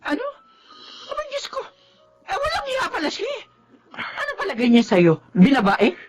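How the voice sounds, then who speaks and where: A woman's voice: very high voice, huge pitch swings, normal speed, background noise, full character voice. An actress playing a concerned, easily shocked middle-aged Filipino mother in a radio drama, motherly and probing. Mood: shocked, indignant. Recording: prominent music behind the voice.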